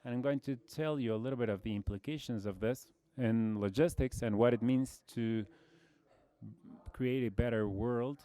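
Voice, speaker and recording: A man in his forties from Brazil with a Brazilian Portuguese accent, giving a measured conference presentation with frequent fillers. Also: mid-range voice, mellow, clean tone. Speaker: conference speaker, logistics executive.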